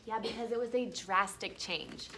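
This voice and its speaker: A woman with a bright, fairly high voice reads aloud as a schoolteacher. She is expressive and instructional, stopping to explain and check in with questions. There is faint noise behind her. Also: moderate pace. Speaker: elementary school teacher. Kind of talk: classroom read-aloud with teaching commentary.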